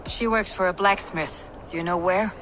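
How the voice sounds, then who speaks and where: An actress playing a stern, steady female Viking warrior in a video game, asking terse, controlled questions. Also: lowish voice, normal speed, noisy background, lightly acted. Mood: stern, measured. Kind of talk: video game cutscene acting.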